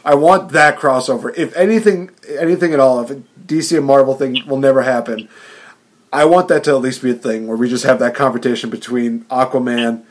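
A man hosting a podcast, chatting casually, excitable and laughing often, with frequent restarts. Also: medium pitch, fairly quick. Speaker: podcast host. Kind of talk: casual chat.

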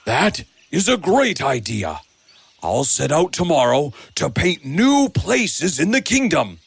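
A man playing a creaky, slow, dreamy prince. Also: a little high, wildly swooping pitch, no room sound, soft background music, full character voice. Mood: earnest. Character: mild, dreamy eldest prince who paints. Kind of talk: children's bedtime story drama.

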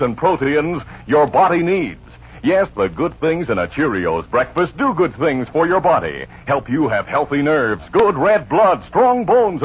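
A man's voice: on the high side, breathy edge. A man announcing on 1950s radio in an emphatic, formal, salesmanlike way. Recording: dull 1950s radio recording.

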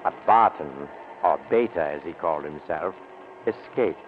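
An actor playing an enigmatic stranger on a train who narrates a 1940s radio mystery in a confiding, insinuating way. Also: male voice, a bass voice, lively intonation, a little slow. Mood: sly, confiding. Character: enigmatic, sinister storytelling male stranger on a train. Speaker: host narrator. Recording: noisy, tinny old radio recording.

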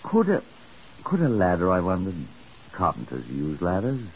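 An actor playing a dry, methodical British chief inspector in a 1950s radio drama, measured, deliberate and faintly sardonic. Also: male voice, low-pitched, wildly swooping pitch, vocal fry, full character voice. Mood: musing, deliberate. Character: dry, methodical police chief inspector narrating his case. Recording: muffled, hissy 1950s radio recording.

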